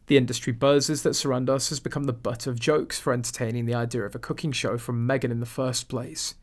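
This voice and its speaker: A man's voice: medium pitch, fairly even intonation, fast talker. A man reading a scripted voice-over for a gossip channel in a snide, mocking tone.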